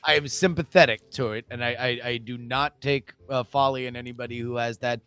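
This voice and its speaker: A man co-hosting a casual political chat podcast. He talks in animated, emphatic bursts and stammers at times. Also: medium pitch, brisk, smooth. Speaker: podcast co-host.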